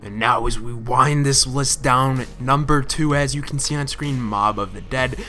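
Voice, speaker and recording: A man's voice: mid-range voice, normal speed, noisy background. A man giving casual countdown commentary as the host of a gaming channel, speaking in voice-over. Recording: music under the voice. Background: music.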